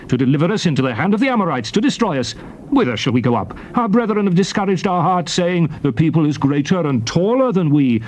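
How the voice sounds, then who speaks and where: A man's voice: on the high side, darkish tone, natural voice. An actor playing Moses, a solemn prophet leader addressing his people in formal, emphatic scripture oratory on a noisy old tape. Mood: stern, reproving. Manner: declamatory, formal, emphatic phrasing.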